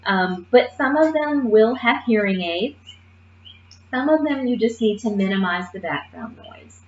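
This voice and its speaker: A woman gives a classroom lecture to nursing students, speaking in a lively, emphatic way and pausing often to make sure her listeners follow. The recording is muffled and roomy, with a faint hiss behind her voice. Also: fairly high pitch. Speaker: nursing assistant instructor.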